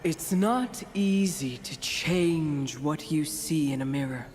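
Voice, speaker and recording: A dramatic mystic woman from a fantasy video game, speaking slowly and portentously with a wistful, playful streak. Her theatrical narration drifts between mystery and chatty asides, with music playing clearly behind her. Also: sits low, a little mellow. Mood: mysterious, wistful.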